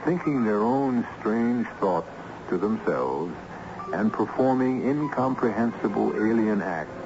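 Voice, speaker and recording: Slow super deep guy narrating old mystery radio, ominous, music behind him. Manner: ominous, deliberate. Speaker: host. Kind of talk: dramatic scripted radio narration. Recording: muffled old radio broadcast.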